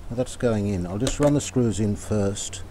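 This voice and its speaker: A man from Australia narrating hands-on repair work casually, unhurried and halting as he thinks aloud. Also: lowish voice, background noise. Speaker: radio restorer presenting his own repair videos.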